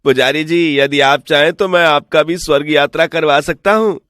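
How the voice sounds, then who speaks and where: A man voicing a sly, smooth-talking village swindler in an animated folk tale, persuasive, boastful and falsely respectful. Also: a little high, normal speed, dry recording, studio quality, lightly acted.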